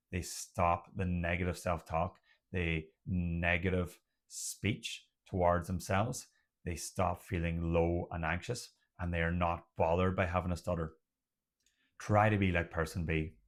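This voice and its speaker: A man who stutters at times, giving a slow, deliberate motivational talk as the host of a stuttering support channel. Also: low-pitched, level tone.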